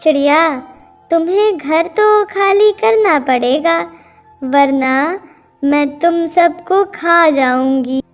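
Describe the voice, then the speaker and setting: Woman doing a bullying female cat, very high voice, greedy, gloating, menacing, cartoon villain, faint music. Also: takes it slowly. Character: greedy, bullying female cat who seizes birds' homes. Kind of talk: Hindi cartoon story dubbing.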